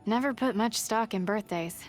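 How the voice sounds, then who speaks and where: An actress playing a guarded, resolute young huntress in a video game, plain-spoken and understated. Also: female voice, a little high, moderate pace, faint music behind, natural voice. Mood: reflective, guarded. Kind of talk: video game character voice lines.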